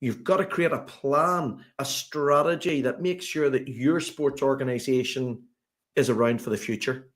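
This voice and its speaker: A man's voice: mid-range voice, moderate pace, a bit bright. A man with a Belfast accent, a sports consultant talking as a guest on a podcast, chatty and full of fillers and restarts. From Northern Ireland. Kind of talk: podcast interview.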